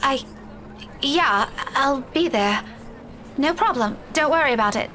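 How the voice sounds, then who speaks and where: A woman's voice: high-pitched, animated, unhurried, pushed and bright, a little hiss or hum, heavily acted. A woman voicing an impatient, anxious young woman in a visual novel game. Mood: subdued. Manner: exclamatory, urgent.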